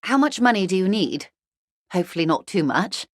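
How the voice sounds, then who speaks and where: A woman's voice: mid-range voice, very animated, a little fast, no room sound, clean studio sound, natural voice. A woman playing a poised, wealthy, shrewd corporate negotiator in a video game, speaking formally and with polite, guarded care. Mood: wry, amused. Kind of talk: video game character dialogue.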